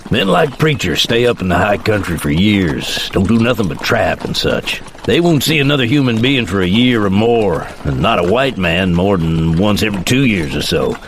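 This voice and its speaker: An actor playing a middle-aged frontier father with an American Western drawl, laconic, folksy and measured, in a dramatized western audiobook. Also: lowish voice, big pitch swings, slight background noise. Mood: reflective. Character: steady, plainspoken frontier father on horseback.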